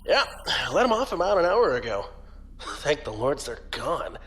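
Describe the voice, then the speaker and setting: A man's voice: fairly high pitch, sing-song, moderate pace, a bit bright. A man playing a brash, fun-loving young space fleet officer in an audio drama, with a casual, cajoling manner. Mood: breezy, gossipy. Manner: casual, wheedling, swooping.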